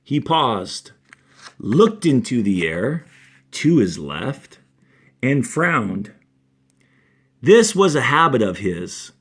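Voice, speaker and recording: A man reading aloud and instructing as a fourth-grade teacher, with deliberate phrasing and dramatic pauses. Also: medium pitch, very animated, fairly bright tone, slightly rough. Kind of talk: expressive classroom read-aloud and instruction.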